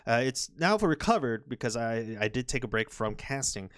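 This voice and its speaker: A young man commenting on a Go match, chatty and rambling, his voice a little hoarse from overuse. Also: medium pitch, very animated, moderate pace, a bit bright, no echo, clean studio sound. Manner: chatty, rambling, frequent restarts and fillers. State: slightly hoarse, recovering voice. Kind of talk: casual game commentary.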